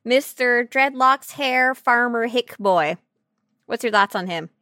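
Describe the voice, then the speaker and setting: A woman hosting a casual, comedic podcast about a video game, chatting in an excitable, playful, giggly way. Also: a little high, takes it slowly, no room sound, clean studio sound. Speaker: podcast host.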